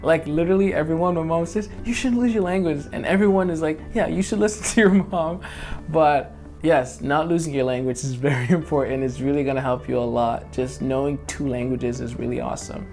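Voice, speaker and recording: A man's voice: fairly high pitch, a little fast, a little mellow. A young man who grew up in Congo, speaking earnestly and cheerfully about his life in an interview. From Democratic Republic of Congo. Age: young adult. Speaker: interviewee, college student. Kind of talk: personal testimony to camera. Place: indoor studio against a light wall. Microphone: lapel mic. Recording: guitar music underneath.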